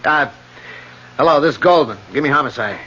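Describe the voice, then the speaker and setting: Sharp-voiced police lieutenant. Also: male voice, fairly high pitch, lively intonation, a little fast, slight background noise. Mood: brisk, official. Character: businesslike male police lieutenant, firm and wry. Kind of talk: radio drama acting. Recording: muffled old radio broadcast.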